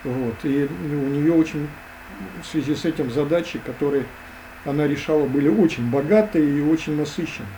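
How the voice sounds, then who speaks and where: A man talking as a guest in an interview, hesitant and full of drawn-out fillers. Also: fairly high pitch, mellow, slight background noise, rough recording. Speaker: guest expert. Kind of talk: conversational interview.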